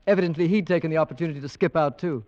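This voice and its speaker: Old radio drama, muffled: male actor as wry, talkative young English husband, higher-pitched, doting yet uneasy. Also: racing, a little mellow, natural voice. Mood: dismissive. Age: young adult. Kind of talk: 1940s-50s radio drama acting. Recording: dull old radio recording.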